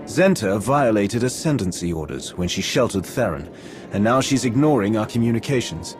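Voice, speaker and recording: Man playing a formal, expressive aristocratic envoy. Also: on the low side, lightly acted. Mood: calculating. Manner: formal, measured, diplomatic. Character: courteous, calculating male alien aristocrat and military envoy. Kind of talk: cinematic video game dialogue. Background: music.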